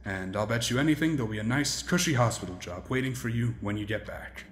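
Casting: male actor as easygoing recruiter, mid-pitched man, coaxing, echoey room with faint noise. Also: fast talker, natural voice. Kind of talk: naturalistic audio drama acting. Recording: roomy echo, faint noise.

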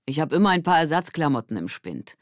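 A narrator plays an amiable, sporty teenage boy in a storybook. The pitch stays in the middle. It is recorded dry and close. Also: sing-song, fast talker, no room sound, natural voice. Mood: helpful.

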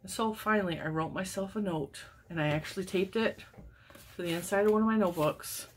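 A woman's voice: medium pitch, brisk, a little hiss or hum. A woman in her forties hosting her crochet channel in casual chat, wheezy and congested, talking with lots of laughs. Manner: chatty, laughs often, animated hand gestures. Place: home craft room lined with yarn shelves.

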